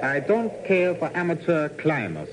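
An actor playing a curt, haughty, hostile climber in a 1950s radio drama. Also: male voice, fairly high pitch, wildly swooping pitch, normal speed. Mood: cold, disdainful. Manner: curt, formal, disdainful. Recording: noisy old radio broadcast.

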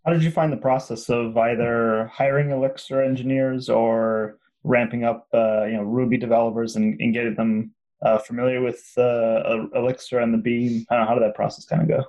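A man's voice: medium pitch, level tone, brisk, darkish tone, low-quality recording. A man on a podcast panel asking the guest a question hesitantly.

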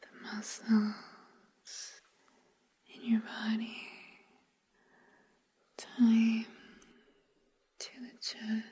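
A woman softly whispering a guided sleep hypnosis in ASMR style, gentle and soothing, leaving long pauses. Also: on the high side, monotone. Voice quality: soft, breathy whisper.

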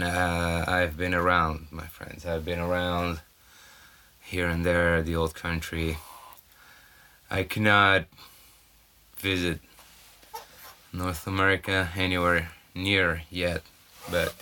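A Brazilian man hosting a casual solo podcast, rambling and halting with many fillers, recorded on a cell phone at an off-grid home in the mountains. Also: low voice, flat delivery, pushed and bright, smooth. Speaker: podcast host.

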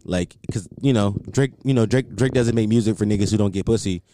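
A man's voice: lowish voice, brisk, dark tone, dry recording, studio quality. A young man rambling casually as a solo podcast host, repeating himself and filling with "like".

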